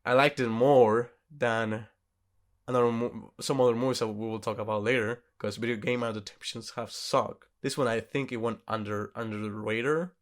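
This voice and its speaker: Mid-pitched man in casual podcast chat. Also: fairly even intonation, normal speed. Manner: informal, sweary, self-correcting. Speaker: podcast co-host. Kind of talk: casual movie review chat.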